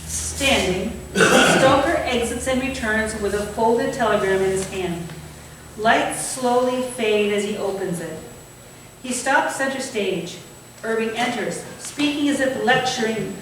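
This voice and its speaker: A woman with a fairly high voice narrates stage directions from a script. She is some way from the microphone in a very echoey hall, with faint noise. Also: a little slow, recorded from a distance. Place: indoor theatre space, staged reading.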